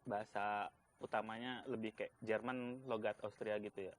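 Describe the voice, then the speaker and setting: A man's voice: on the low side, steady tone, normal speed. A man from Indonesia with a Jakarta accent, talking casually as an interview guest in loose, informal phrases. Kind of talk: casual chat.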